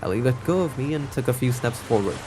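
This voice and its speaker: A man's voice: medium pitch, expressive, fast talker, a little mellow, faint music behind, low-quality recording. A man streaming a visual novel, reading the narration aloud expressively with playful interjections. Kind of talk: expressive read-aloud narration with live reactions.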